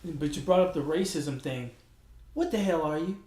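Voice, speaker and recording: A man's voice: fairly high pitch, normal speed, warm tone. A man hosting a podcast, easygoing and wisecracking as he asks his guest questions. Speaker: podcast host. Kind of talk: casual podcast chat. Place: podcast studio. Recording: roomy studio echo.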